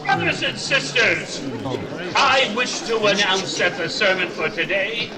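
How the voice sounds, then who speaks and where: An actor playing a declamatory small-town preacher addressing his congregation in old-time radio style. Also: high-pitched. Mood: solemn, raised. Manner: slow, declamatory. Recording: loud crowd behind.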